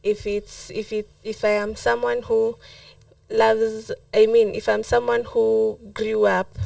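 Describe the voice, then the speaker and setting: A woman's voice: fairly high pitch, flat delivery, slow pace, gravelly, darkish tone, no echo. A woman with a Kenyan accent answering conversationally as a guest in a podcast interview.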